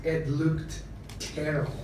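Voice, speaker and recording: A man reading a short story aloud at a live event, in a deliberate, theatrical storytelling manner. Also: fairly high pitch, flat delivery, darkish tone. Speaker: reader of short fiction at a live literary event. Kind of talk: dramatic scripted story reading. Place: live event in a social room. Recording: echoey room, distant mic, faint noise.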